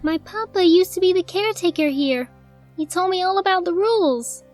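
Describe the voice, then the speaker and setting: A woman voicing a young filly who is sweet, light and quietly mysterious, in a storybook reading. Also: very high-pitched, lively intonation, normal speed, faint music behind, pitched above the performer's own voice. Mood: eerie sweet. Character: eerie young ghostly filly, sweet and secretive.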